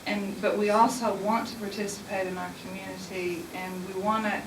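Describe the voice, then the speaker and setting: A woman's voice: medium pitch, little pitch movement, normal speed. A woman speaking sincerely on a panel in a conversational way, often restarting her sentences. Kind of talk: panel discussion. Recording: echoey room, distant mic, hiss.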